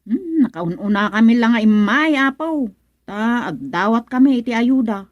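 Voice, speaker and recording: A woman acting an excitable, cheeky blind villager in a radio comedy drama, with a Filipino accent, full of exclamations and repeated words. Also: a little high, moderate pace, no echo, lo-fi sound, natural voice. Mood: pleading, sheepish. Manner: exclaiming, stammering, repeating interjections.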